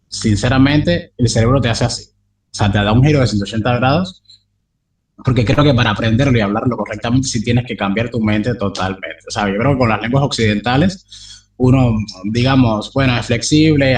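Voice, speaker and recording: A man's voice: mid-range voice, distant mic. A young man talking casually as an interview guest, rambling with many fillers and restarts. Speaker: polyglot interviewee.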